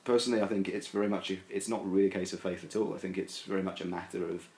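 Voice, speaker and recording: A very low-voiced man explains his views in a loose, rambling way, full of fillers and restarts. His voice rings around an echoey room. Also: fairly even intonation, racing, darkish tone. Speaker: podcast guest. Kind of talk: casual discussion.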